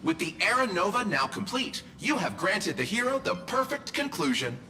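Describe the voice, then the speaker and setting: An actor playing a flamboyant, pompous, flattering herald in a video game, with theatrical, florid delivery. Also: male voice, high-pitched, normal speed, heavily acted. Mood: grandiose, delighted. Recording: long reverb, faint music behind.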